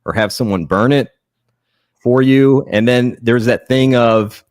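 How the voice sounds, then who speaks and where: A man's voice: mid-range voice, unhurried, darkish tone, no echo, clean studio sound. A man joining a fantasy football draft as a guest, speaking casually in a hesitant, stammering but easygoing way. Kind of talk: casual chat.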